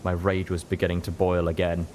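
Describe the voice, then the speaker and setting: A man with a very low voice narrates a first-person tale. His reading is scripted and dramatic, like an audiobook. There is clear noise behind him, including gunfire effects. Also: completely flat delivery, a little fast. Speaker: narrator, story reader.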